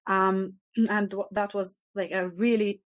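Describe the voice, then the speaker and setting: Woman, 30s, Arabic native from Jerusalem; earnest, reflective panelist, mid-pitched, dry close sound. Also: flat delivery, slow, dry recording. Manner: earnest, reflective, with many fillers and restarts. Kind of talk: panel talk.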